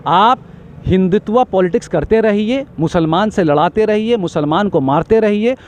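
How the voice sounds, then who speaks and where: A man's voice: sits high, moderate pace, a little mellow, muffled recording. A man giving an impassioned street interview, talking emphatically in long repetitive lists and stammering when agitated.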